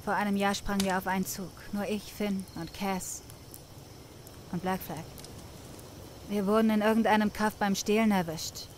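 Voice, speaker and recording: A woman's voice: medium pitch, steady tone, moderate pace, pauses often, a little hiss or hum, natural voice. Woman playing a rueful young female drifter, crickets behind. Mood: wistful storytelling. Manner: slangy, swearing, plain-spoken. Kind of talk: German fan dub of a video game. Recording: clear night insect ambience behind voice. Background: crickets and night ambience.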